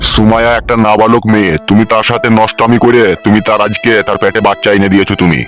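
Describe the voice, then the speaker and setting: Stern cartoon village elder played by a man, mid-pitched, quick-fire, scolding and coarse, with music underneath. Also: little pitch movement, a bit bright, natural voice. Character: stern, foul-mouthed village elder judging a wrongdoer. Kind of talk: cartoon voice acting.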